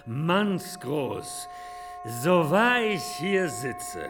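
Very slow, insistent yarn-spinner. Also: male voice, on the high side, sing-song, loud music behind the voice, full character voice. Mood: insistent, awed. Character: insistent storyteller swearing he saw treasure. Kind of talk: melodramatic film dialogue.

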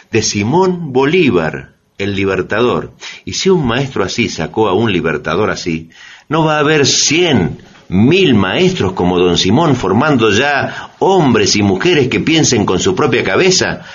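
An actor playing an earnest, emphatic storyteller, delivering dramatic scripted narration for radio theatre. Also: male voice, on the low side, sing-song, a little slow, dull audio. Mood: hopeful, rousing.

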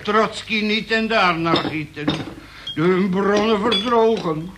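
An actor playing a pompous fire-and-brimstone preacher who declaims solemn prayers in a radio play. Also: male voice, sits high. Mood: wrathful, declaiming. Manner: declamatory, solemn, drawn-out phrasing. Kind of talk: radio play acting, chanted sermon-like prayer. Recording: very noisy old radio play recording.

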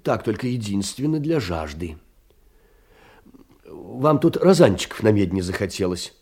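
A humble, doting middle-aged clerk in a literary audio drama. His mellow, slightly low voice swoops widely as he fusses and coaxes with sentimental endearments. Music plays behind him. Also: sing-song, unhurried, slightly rough, heavily acted. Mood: gentle, shy. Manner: fussing, endearments and diminutives, sentimental. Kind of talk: Russian stage drama, literary monologue.

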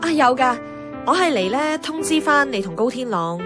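A woman's voice: sits high, animated, moderate pace. A woman playing an eager, slightly flustered, polite young woman in a radio drama, with a Hong Kong accent. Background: music.

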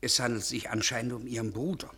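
A man's voice: medium pitch, fairly even intonation, fast, bright, forceful tone, breathy edge. An actor playing a dutiful, inquisitive man in a 1950s German radio drama, formal and matter-of-fact. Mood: probing, dry.

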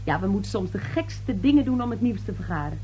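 An actress playing a brash, slippery young newspaper reporter in a radio play, glib, insistent and patronizing. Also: female voice, mid-range voice, lively intonation, fast talker, mellow, muffled recording, close to an everyday voice. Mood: brash, breezy. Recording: noisy old radio drama recording.

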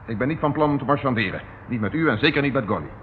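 An actor playing a stern, impatient police inspector in a radio play, speaking in a dry, businesslike way. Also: male voice, mid-range voice, lively intonation, rapid-fire, close to an everyday voice. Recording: muffled, noisy old radio recording.

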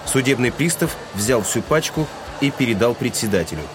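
Male narrator with a medium-pitched voice. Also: animated, normal speed. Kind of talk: scripted dramatic literary narration. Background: music.

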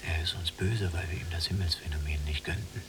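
An actor playing a yielding, resigned younger prisoner in a radio drama, with terse, dry phrasing. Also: male voice, deep voice, fairly quick, lightly acted. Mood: dry, reproachful. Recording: distant mic, noisy hum.

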